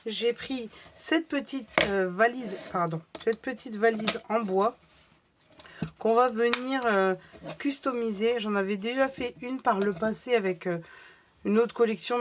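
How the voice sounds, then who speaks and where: A woman vlogger with a slightly hoarse voice, chatting casually through a crafting haul with many fillers and restarts. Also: medium pitch, a little hiss or hum.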